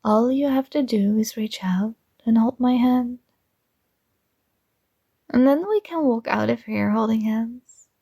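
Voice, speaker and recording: A woman voicing a possessive young princess in an ASMR roleplay, talking in a soft, slow, coaxing and teasing way. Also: on the high side, slightly rough, no echo, clean studio sound, full character voice.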